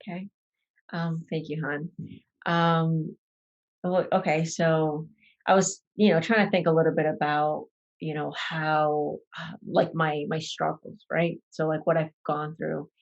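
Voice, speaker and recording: A young woman from Ecuador speaks in a low register, telling her story slowly and haltingly. She pauses often, restarts her sentences and fills the gaps with "um" and "like." It sounds like a personal podcast conversation. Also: flat delivery, dull audio. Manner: hesitant, many fillers and restarts. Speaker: podcast guest.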